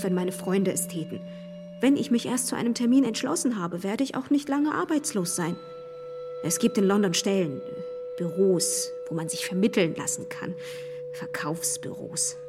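A woman acting a polite but proud young gentlewoman of small means in a period radio play. Her voice is mid-pitched, restrained and firm, set in a reverberant room with faint music. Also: moderate pace, close to an everyday voice. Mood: guarded, resolute.

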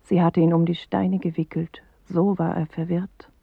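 An actress playing a watchful inner narrator in a poetic radio play, confiding dry commentary in a husky, creaky, often half-whispered voice. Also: female voice, lowish voice, flat delivery, moderate pace, dry recording. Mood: wry, confiding. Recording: thin, phone-like band, very dry.

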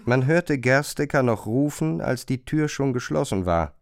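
A man's voice: medium pitch, animated, a little slow, gravelly. A man reading scripted literary narration for a radio drama. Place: dry studio.

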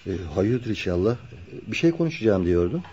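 An actor playing a kind, respectful young friend in a radio play, courteous and reassuring. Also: male voice, on the low side, big pitch swings, brisk, warm tone. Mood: welcoming. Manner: courteous, hospitable, soothing. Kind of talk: Turkish radio theatre acting. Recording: dull lo-fi radio drama recording.

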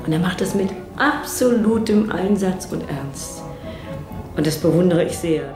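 A woman's voice: lowish voice, measured pace, roomy sound, music in the background. A middle-aged woman from East Germany explaining her work thoughtfully, as in a documentary interview. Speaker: founder of an inclusive theater group.

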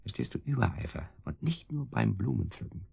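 A man's voice: on the low side, big pitch swings, fairly quick, creaky, warm tone, slightly rough, full character voice. An actor playing a sadistic, cruelly playful kidnapper in a radio drama, mocking and menacing as he talks. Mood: smug taunting. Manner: mocking, menacing, chuckling, taunting.

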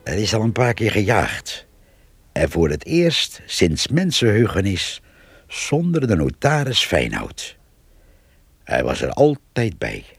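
Deep, husky village storyteller. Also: male voice, wildly swooping pitch, slow, no echo, full character voice. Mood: wry, gossipy. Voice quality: husky, gravelly. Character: gossipy male village storyteller, wry and wistful. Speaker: narrator. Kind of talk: radio play narration.

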